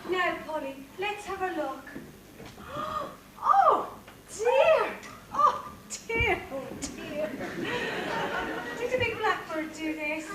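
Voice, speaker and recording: Lady as fussy fairy godmother, really high voice, slow and theatrical, echoey hall. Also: very animated, a loud crowd, exaggerated voice. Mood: fussing, reassuring. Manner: theatrical, exclamatory. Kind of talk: amateur stage pantomime acting. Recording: echoey hall, distant mic, clear noise.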